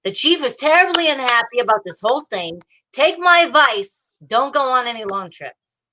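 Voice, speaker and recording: Exasperated, teasing police detective played by a woman, high bright voice, hardboiled radio-drama delivery. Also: big pitch swings, moderate pace, a little hiss or hum, full character voice, poor audio. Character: gruff, exasperated, fond police detective Mallard. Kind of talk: old-time radio detective acting, slangy.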